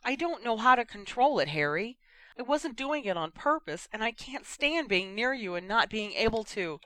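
Woman doing an upset young woman, pleading, kinda high. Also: big pitch swings, a little slow, fairly bright tone, no room sound, low-quality recording. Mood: distraught. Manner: pleading and emotional.

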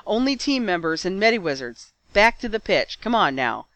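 A male security official from a fantasy audiobook, played by the narrator, blusters in a middle-pitched voice. He is bossy but easily flustered, and the sound is dry. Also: sing-song, moderate pace, fairly bright tone, no room sound, muffled recording. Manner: barking, then stammering.

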